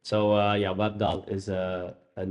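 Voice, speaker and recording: Casting: Dutch-native male host, lowish voice, halting with lots of "uh" and restarts. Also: flat delivery, a little mellow, muffled recording. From Netherlands. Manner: hesitant, many fillers and restarts. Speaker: hypnotherapist and podcast host. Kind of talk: casual interview chat.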